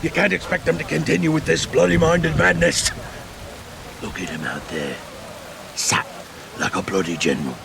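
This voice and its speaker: Resentful hunter, gruff, very gravelly, animated, audio drama. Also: male voice, on the high side, moderate pace, pauses often, crowd noise. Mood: exasperated. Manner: gruff, complaining. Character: exasperated, resentful member of hunting party. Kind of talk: period audio drama acting.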